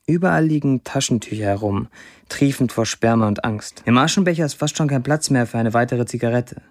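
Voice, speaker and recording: An actor playing a brash young Syrian writer, delivering an intimate first-person monologue in a radio drama. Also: male voice, mid-range voice, a little fast, close to an everyday voice. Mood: candid, intimate. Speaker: lead actor reading a writer's status posts.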